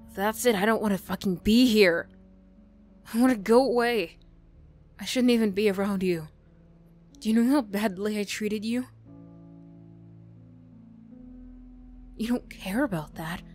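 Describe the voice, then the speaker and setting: A woman acts out a young female bully in an ASMR audio drama, proud and defensive yet full of guilt. She talks bluntly and emotionally in a medium-pitched voice, over faint music. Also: darkish tone, lightly acted. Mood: angry, defensive. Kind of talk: ASMR roleplay acting.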